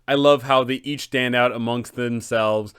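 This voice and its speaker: Smooth, emphatic man hosting a tabletop explainer. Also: mid-range voice, fairly even intonation, measured pace, fairly bright tone, no room sound, studio quality. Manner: dramatic, emphatic storytelling delivery. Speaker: host, tabletop game advisor. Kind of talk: enthusiastic scripted explainer for game masters.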